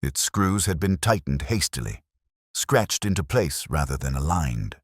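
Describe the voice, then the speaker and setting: Male narrator for literary mystery: bottom-register voice, slightly husky, wry and measured delivery. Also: a bass voice, very animated, no room sound, studio quality. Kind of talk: scripted narration of a mystery story.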